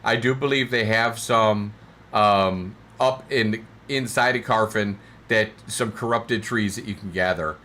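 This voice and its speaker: A man streaming a game from his recliner at home, reading out viewer comments and answering them in a relaxed, rambling way with lots of fillers and restarts. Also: on the low side, measured pace. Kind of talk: casual livestream chat, answering viewer comments. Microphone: desk mic, sometimes too far from it.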